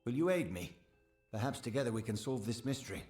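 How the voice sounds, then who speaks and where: A man's voice: mid-range voice, animated, quick pace, darkish tone, close to an everyday voice. A man voicing an eager, self-effacing village sagecrafter in a fantasy game, earnest, hesitant and deferential. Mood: earnest, uneasy. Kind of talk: scripted game dialogue. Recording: roomy echo, background music.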